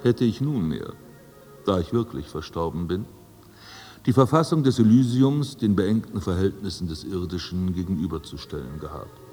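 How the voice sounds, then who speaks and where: A man's voice: low-pitched, lively intonation, moderate pace, dark tone. A man playing a haughty, self-assured dead aristocrat in a radio drama, speaking in formal, ornate and pompous phrases. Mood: pompous, wry. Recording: long hall reverb, music underneath.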